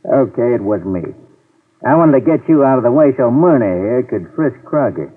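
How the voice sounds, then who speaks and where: A man playing a gruff rough-spoken crook. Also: mid-range voice, expressive, normal speed, close to an everyday voice. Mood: surly. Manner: gruff and ungrammatical, tough-guy talk. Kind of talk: 1950s radio drama acting. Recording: tinny old radio broadcast.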